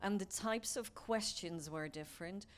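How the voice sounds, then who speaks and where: A woman's voice: mid-range voice, a little slow. Belgian-born woman moderating a panel. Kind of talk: conference panel discussion.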